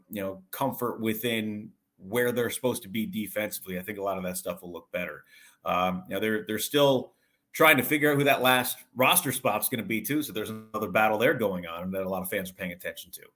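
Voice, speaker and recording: A man's voice: lowish voice, a bit bright. A man giving conversational sports analysis as an interview guest, explaining things at length and in detail. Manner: talkative, explanatory, long detailed answers.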